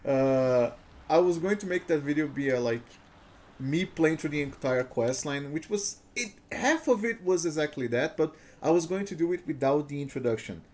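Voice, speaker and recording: A man's voice: a little high, animated, a little slow, pauses often, darkish tone. Rambling streamer man. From Brazil. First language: Portuguese. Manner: rambling and chuckly, with frequent "uh" fillers and restarts. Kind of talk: casual live-stream chat over gameplay.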